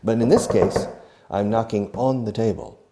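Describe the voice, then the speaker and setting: A man teaching a beginner English lesson, explaining slowly and acting out each phrase, with short glosses in another language. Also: fairly low pitch, noisy background. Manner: slow, deliberate, demonstrative. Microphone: video recording.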